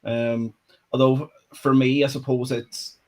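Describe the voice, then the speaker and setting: A man's voice: mid-range voice, level tone, takes it slowly. Earnest man with a Belfast accent. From Northern Ireland. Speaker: crime writer, panelist. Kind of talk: casual panel chat.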